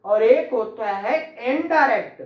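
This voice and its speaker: A man's voice: very high voice, expressive, measured pace, roomy sound, lo-fi sound. A man teaching law to a class, quizzing students, repeating key terms and praising answers. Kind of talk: classroom law lecture with questions.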